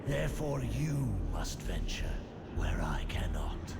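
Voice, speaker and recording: An actor playing a weary, solemn leader in a game cutscene, with a gravelly voice and portentous, deliberate delivery. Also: male voice, low voice, big pitch swings, heavy background noise, exaggerated voice. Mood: haunted, grave. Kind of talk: dramatic game cutscene dialogue. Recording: loud music behind the voice.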